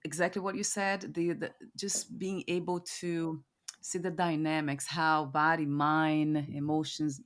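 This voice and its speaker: A woman hosting a reflective conversational interview, musing aloud and often searching for her words. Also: low voice. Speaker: podcast host interviewing a guest.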